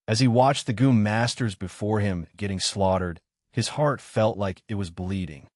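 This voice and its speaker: A man narrating a fantasy novel in a steady, dramatic manner. His voice sits low and is recorded dry and close. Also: no echo. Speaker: audiobook narrator.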